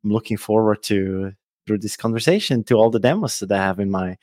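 Swiss German-accented man, low voice, dry sound, hesitant casual guest talk. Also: a little fast, a little mellow, no room sound. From Switzerland. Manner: hesitant, many fillers and restarts. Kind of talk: casual tech chat.

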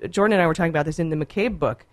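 A woman's voice: sits low, racing, dry recording. A woman co-hosting a casual political chat show, firing off rhetorical questions with lots of profanity.

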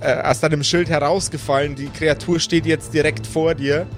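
A man working as game master, narrating an improvised tabletop adventure in deliberate, descriptive phrases. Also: fairly high pitch, fairly even intonation. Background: music under the voice.

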